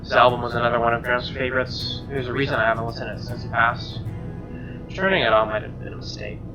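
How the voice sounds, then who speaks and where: A man's voice: mid-range voice, fast talker, lo-fi sound. A man streamer reading a first-person visual novel aloud as narration. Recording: music behind the voice.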